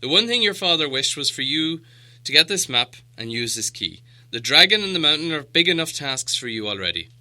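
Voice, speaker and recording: Old wizard for a storybook, played by a male reader: gruff, impatient, self-assured, with a bright, forceful edge. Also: on the high side, very animated, a little fast, voice pushed up, full character voice. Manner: emphatic, grim, self-assured. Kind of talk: storybook character voice.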